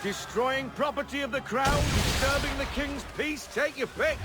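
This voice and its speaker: An actor playing a spirited, seasoned sailor in a video game, with a salty, hearty way of talking. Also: male voice, extremely high pitch, normal speed. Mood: rousing, urgent. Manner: salty, hearty, old-time seafaring talk. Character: gruff, spirited older seasoned naval sailor mentoring a young captain. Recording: music behind the voice.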